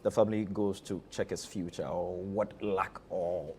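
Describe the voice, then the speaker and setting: A man's voice: deep voice, fairly even intonation, moderate pace, darkish tone, slight background noise. A Ghanaian-accented man being interviewed as a theatre director, talking conversationally and leaning on repeated phrases for emphasis.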